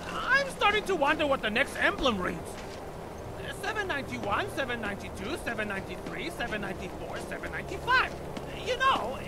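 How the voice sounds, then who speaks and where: A very high, lively voice from a woman acting as a chatty pilgrim companion in a fantasy game. She is wry, pedantic and given to rambling, with loud music behind her. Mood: musing, counting. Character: chatty, sardonic pilgrim companion, obsessive step-counter. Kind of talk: video game character dialogue.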